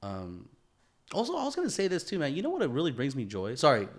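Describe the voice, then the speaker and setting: A man's voice: a little high, huge pitch swings, fast. A man co-hosting a podcast in casual conversation, talking in a rambling, slangy way full of "bro" and "man". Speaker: podcast co-host.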